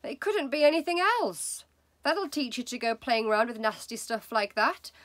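A woman voicing a bossy, sharp-tongued middle-aged mother who scolds her husband, a little higher and louder than her own voice. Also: big pitch swings, moderate pace, no echo. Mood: scolding.

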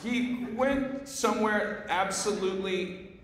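A man's voice: high-pitched, slow pace. A middle-aged man hosting live improvised comedy, rambling and stammering into a stage microphone. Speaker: comedy show host. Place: theatre stage before an audience. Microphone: handheld stage microphone. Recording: echoey hall, noisy live crowd, distant.

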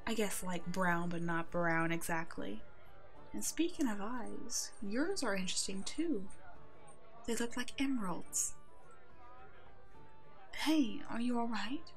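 A woman playing a kind, protective werewolf in an ASMR roleplay drama, speaking gently and reassuringly as if to a child. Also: mid-range voice, slow pace, pauses often, soft background music. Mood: concerned, kind. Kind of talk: ASMR roleplay audio drama, one-sided dialogue.

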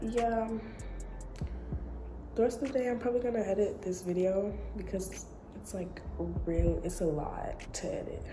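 Young adult woman, medium pitch, casual vlog chat, prominent music behind. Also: fairly even intonation, normal speed, poor audio. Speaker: vlogger.

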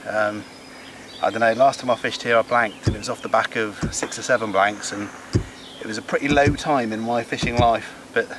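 A man's voice: lowish voice, fairly even intonation, a little fast. A man chatting casually and informally to camera as a fishing vlogger. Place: outdoors on a wooded lake bank. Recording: outdoor nature noise behind voice.

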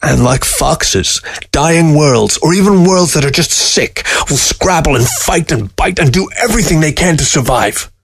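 A tired old taxidermist argues back in an exasperated, defensive voice, as a male reader puts him on. He sounds worn out and quick to bristle. The sound is dry and close. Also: fairly high pitch, expressive, gravelly, breathy edge, no echo, voice pushed up, heavily acted. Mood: heated. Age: older.

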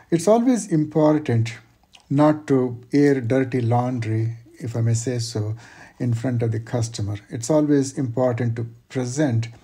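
Male guest expert, mellow husky voice, measured explanatory delivery. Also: mid-range voice. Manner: measured, explanatory, uses examples. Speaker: guest expert on workplace conflict. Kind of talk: advice in an interview.

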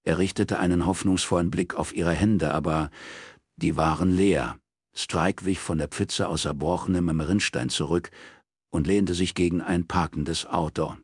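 A man's voice: deep voice, steady tone, unhurried, breathy edge, no room sound, clean studio sound. A man reading a crime novel as scripted audiobook narration.

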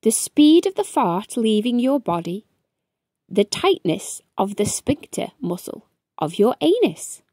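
A young adult woman reading a children's book aloud as storytime host, expressive and deliberate, speaking to young children. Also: a little high, no room sound, studio quality. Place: small furnished room indoors.

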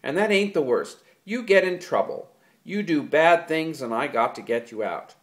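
A man voicing an angry, exasperated ranch hand who rants loudly and bitterly. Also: medium pitch, animated, normal speed, lightly acted. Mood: furious. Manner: loud, bitter ranting.

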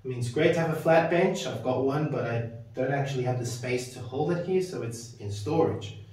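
A man's voice: mid-range voice, brisk, darkish tone. A man in his thirties hosting a casual home gym walkthrough, chatty and rambling, often tagging his sentences with "right?". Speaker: fitness video host. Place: small home gym with power rack, indoors. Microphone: camera mic from a distance. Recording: very echoey room, camera far off.

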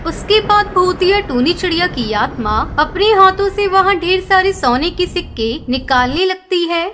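A woman's voice: extremely high pitch, expressive, moderate pace, a bit bright. A woman narrating a scripted children's story as the storyteller. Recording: clear background noise and ambience.

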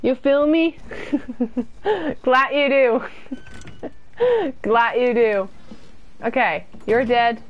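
Young woman streamer from the UK, slightly high voice, unhurried and chatty, talking from a small room. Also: lo-fi sound, slight background noise. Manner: chatty and self-deprecating, reads chat aloud and answers it. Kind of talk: casual gameplay commentary, chatting with viewers.

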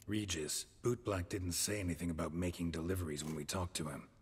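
An actor playing a gruff, taciturn monster hunter in a video game, with a gravelly husky voice and terse deadpan delivery. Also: male voice, sits low, brisk, big-room sound, a little hiss or hum, close to an everyday voice. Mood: matter-of-fact. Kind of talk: dramatic video game acting.

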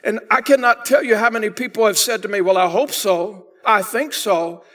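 A man's voice: high-pitched, moderate pace. An older man preaching a sermon in a church sanctuary, speaking from the pulpit and pressing hard on key phrases. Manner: emphatic preaching, swelling louder on key phrases. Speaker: pastor.

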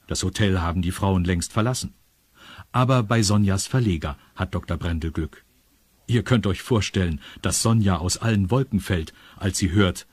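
A man's voice: low-pitched, a little mellow, husky, no room sound. A man narrating a scripted children's story, confiding in his young listeners. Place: studio.

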